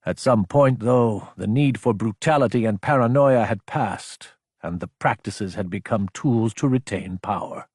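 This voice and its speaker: A man narrating sci-fi fiction as an audiobook, with a measured, serious, dramatic storytelling delivery. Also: fairly low pitch, darkish tone, breathy edge, no room sound, clean studio sound.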